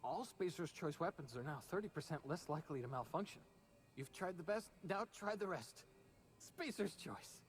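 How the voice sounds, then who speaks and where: An actor playing a wounded, officious frontier guard in a video game, folksy and earnest, reciting company patter in a drawl. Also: male voice, on the high side, expressive, normal speed, natural voice. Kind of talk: video game character acting.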